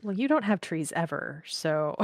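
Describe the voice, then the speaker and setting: A woman's voice: on the low side, expressive, unhurried, no room sound, studio quality. A woman from southern Missouri with a mild Midwestern accent, chatting casually and laughing often while streaming a game.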